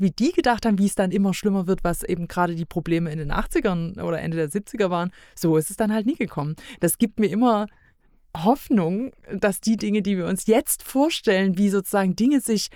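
Woman, podcast guest, casual reflective talk, talkative, emphatic repetition, mid pitch, dry recording. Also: darkish tone, no echo.

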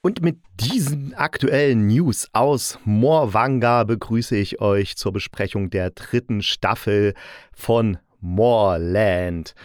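Low-voiced, jokey male podcast critic. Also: clean tone, no room sound, studio quality. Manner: jokey, self-ironic. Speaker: podcast host and audio drama critic. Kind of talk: chatty podcast review.

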